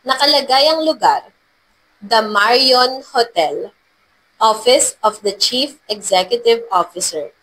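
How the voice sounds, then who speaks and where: A woman narrates a scripted love story. Her voice sits fairly high as she reads the storytelling passages. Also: fairly bright tone, low-quality recording.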